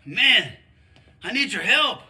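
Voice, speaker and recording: A pushy barrio gangster from a video game, with a Chicano accent. His voice is extremely high for a man, sharp and full of lively swings. He talks urgently and excitably in street slang. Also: slow pace, full character voice. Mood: urgent, pleading. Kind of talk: animated game cutscene dialogue with Spanglish slang.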